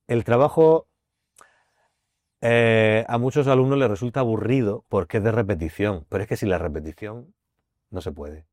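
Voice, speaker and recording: Murcian-accented man, low register, voice teacher explaining and repeating his points, dry studio sound. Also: moderate pace, darkish tone, no echo. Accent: Murcian Spanish. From Murcia, Spain. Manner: thoughtful, circling back to repeat key phrases. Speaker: voice teacher and actor. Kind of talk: podcast interview, explaining.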